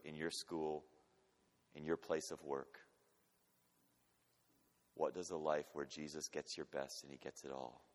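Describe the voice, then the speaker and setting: A man preaching a sermon with emphatic delivery, swinging between urgent loud passages and quiet, intimate ones. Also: very deep voice. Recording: long hall reverb.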